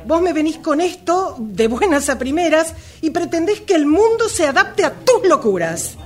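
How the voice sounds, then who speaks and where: A woman's voice: very high-pitched, very animated, moderate pace. An Argentine woman playing a resentful, reproachful married sister in an amateur radio drama.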